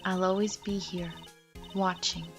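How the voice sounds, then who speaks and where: A woman playing a composed, caring mentor spirit in a game fandub, speaking in a calm, measured, firm way. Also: lowish voice, soft background music, lightly acted. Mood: gentle, wistful. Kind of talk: fandub voice acting of a visual novel game.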